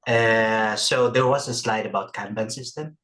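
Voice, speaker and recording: A man's voice: on the low side, fairly even intonation, normal speed, a bit bright. A man asking a question in a webinar over a video call, hesitant, with many uhs. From Philippines. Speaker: audience member asking a question.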